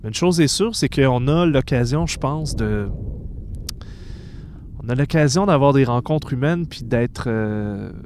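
A man's voice: medium pitch, animated, unhurried, darkish tone, slight background noise. A man with a Québécois accent hosting a podcast, talking casually and reflectively, often searching for his words. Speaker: podcast host. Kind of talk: casual conversation.

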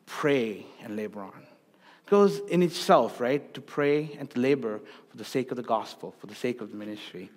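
A man who grew up in Sri Lanka, preaching a sermon to his church, repeating key phrases for emphasis and moving between raised and hushed delivery. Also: medium pitch, animated, normal speed, big-room sound. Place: church sanctuary.

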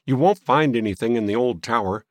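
A man voicing an irritable, suspicious old gentleman in an audiobook, testy and scoffing. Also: medium pitch, brisk, no room sound, lightly acted. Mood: dismissive. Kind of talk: audiobook character voice.

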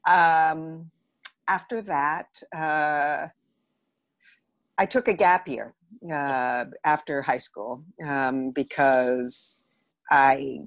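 A woman's voice: deep voice, steady tone, drawn-out words, dry recording. A woman, telling stories candidly and with self-deprecating humour as a guest in a casual interview. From Los Angeles area, United States. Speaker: podcast guest, theatre director.

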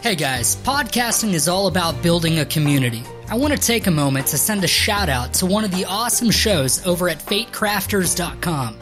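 A woman's voice: lowish voice, expressive, brisk, few pauses, a bit bright. A woman reading a scripted podcast promo as host, over a music bed.

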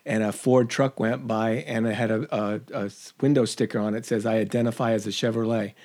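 A man's voice: lowish voice, fairly even intonation, a little fast, a little mellow. Agreeable man co-hosting a podcast. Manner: agreeable, many short backchannels and restarts. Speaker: podcast co-host. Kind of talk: casual podcast chat.

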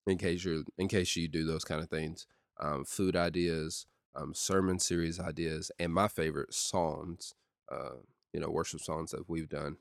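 Deep-voiced man, low and dry. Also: gravelly, no echo. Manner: steady and conversational with many ums and uhs. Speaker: youth pastor. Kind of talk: casual solo podcast talk.

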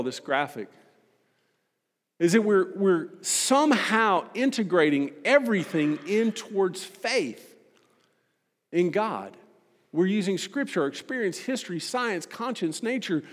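A man delivering a sermon to a congregation as a preacher, emphatic and rhetorical, building points through repetition and pointed pauses. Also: high voice, lively intonation. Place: large reverberant hall. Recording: long hall reverb.

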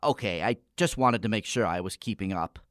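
A man's voice: fairly low pitch, fairly quick, no room sound, clean studio sound, close to an everyday voice. A man playing a morose, skeptical boy in a comic gothic radio play, with a formal, wordy, exasperated manner.

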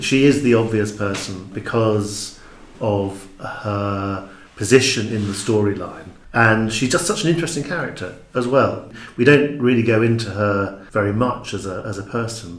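Reflective low-voiced man, echoey room. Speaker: podcast guest, audio drama maker. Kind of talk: casual reflective discussion.

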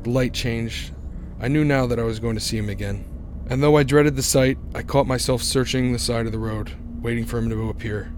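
An actor playing a haunted, anxious young driver in a radio drama, narrating earnestly and stammering when agitated. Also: male voice, medium pitch, fairly even intonation, fairly quick, noisy background, natural voice. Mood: brooding dread. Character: haunted, anxious young male cross-country driver narrating his ordeal.

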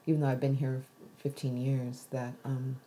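A woman's voice: very deep voice, little pitch movement, moderate pace, warm tone, slight background noise. An American woman being interviewed, talking thoughtfully with many restarts and easy laughs. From United States. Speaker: interview guest. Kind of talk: reflective conversational interview.

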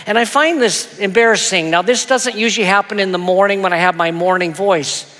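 A male pastor telling a story in a sermon, with a soft, soothing voice and a gentle, self-deprecating manner that stumbles into little repeats. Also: high voice, brisk. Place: church auditorium. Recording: long hall reverb.

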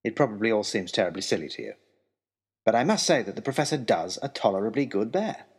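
An actor playing an eager, long-suffering young gentleman adventurer, reading his letters aloud in an earnest, wry, genteel way in a comic period audio drama. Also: fairly low pitch, fast, husky, full character voice. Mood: amused, excited. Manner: expressive, earnest, wryly self-deprecating, genteel.

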